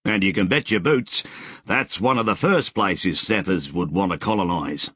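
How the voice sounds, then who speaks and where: A man's voice: deep voice, moderate pace, fairly bright tone, breathy edge, dry recording. A man voicing a cheerful, grizzled older man with a breezy, slangy way of talking.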